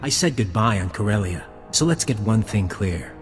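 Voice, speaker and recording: An actor playing a cocky, bitter rival spy in a sci-fi game, with a taunting, intimate, dramatic delivery. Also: male voice, on the low side, expressive, moderate pace, natural voice. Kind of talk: scripted sci-fi game acting. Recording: long reverb, clear music behind.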